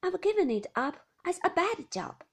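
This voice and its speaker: A woman doing a brisk, impatient lady. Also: very high voice, big pitch swings, pitched above the performer's own voice, full character voice. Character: brisk, impatient upper-class Englishwoman.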